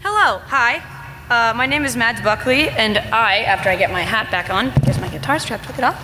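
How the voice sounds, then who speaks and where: A teenage girl, a student performer, introducing her original song on stage in a large hall, flustered and rushed. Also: a little high, lively intonation, pushed and bright. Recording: live stage sound with guitar.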